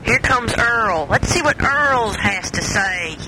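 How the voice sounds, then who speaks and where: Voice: mid-range voice, animated, slow pace, background noise. An adult chatting casually with their mother, giggling, silly and playful. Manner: giggly, silly, breaks into song and goofy voices. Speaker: adult child recording with mother. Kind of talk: casual chat.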